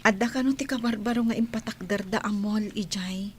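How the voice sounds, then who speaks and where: An actress with an Ilocano accent playing a sickly, meek young daughter in a radio drama, in a weak, subdued way. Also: female voice, mid-range voice, steady tone, brisk, slight background noise, lightly acted. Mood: confiding.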